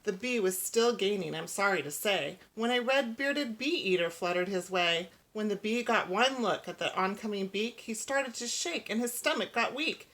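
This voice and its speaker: A woman reads a children's storybook aloud in the manner of a teacher. Her delivery is expressive and follows the bounce of rhyming verse, with a voice at an ordinary middle pitch. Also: normal speed, few pauses.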